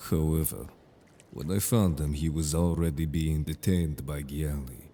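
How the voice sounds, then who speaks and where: A man voicing a stern, protective merfolk guard in an audio drama, speaking in a measured, formal, serious way. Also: rumbling low, steady tone, warm tone, a little hiss or hum. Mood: matter-of-fact, formal. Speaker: voice actor in an audio roleplay. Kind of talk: scripted audio drama roleplay.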